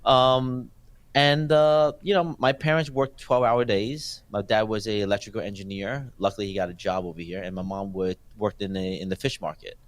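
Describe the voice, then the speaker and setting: Low-voiced man telling his life story. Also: fairly even intonation, dry recording. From born in Thailand, raised in New Jersey, US. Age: 40s. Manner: halting, many fillers and restarts. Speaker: filmmaker guest. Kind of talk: casual interview storytelling.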